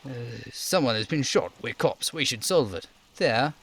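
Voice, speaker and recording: A man's voice: medium pitch, animated, measured pace, vocal fry, fairly bright tone, full character voice. Man playing an insistent, inquisitive game detective. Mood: eager, insistent. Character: earnest, inquisitive male police detective. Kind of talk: amateur video game role voice acting.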